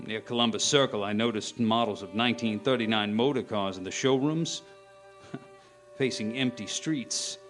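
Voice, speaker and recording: A man playing a grave, haunted professor, narrating a radio play in a solemn, deliberate, theatrical way. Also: medium pitch, a bit bright. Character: grave, haunted male professor recounting his survival.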